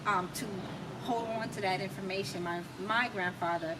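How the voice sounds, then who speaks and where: An American woman telling her personal story as an interview guest, emphatic and reflective, in short deliberate phrases. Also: medium pitch, steady tone. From United States. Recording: distant mic, clearly noisy background.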